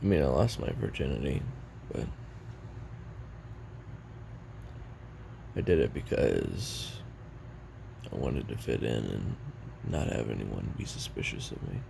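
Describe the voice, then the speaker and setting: An actor playing a weary, regretful young assassin in an audio roleplay, making a halting, brooding confession in a gravelly voice. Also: male voice, very deep voice, big pitch swings, warm tone, slight background noise, exaggerated voice. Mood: guarded, awkward. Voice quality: gravelly, creaky. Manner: halting, brooding, casually profane.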